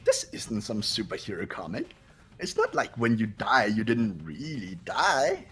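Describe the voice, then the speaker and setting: A performer voicing a sadistic, gleeful robot bear headmaster in a game, with a raspy cartoonish voice and a theatrical, taunting manner. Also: mid-range voice, wildly swooping pitch, measured pace, a bit bright, soft background music, exaggerated voice. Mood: callous taunting. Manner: theatrical, mock-innocent, swooping, taunting. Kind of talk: fan voiced visual novel playthrough.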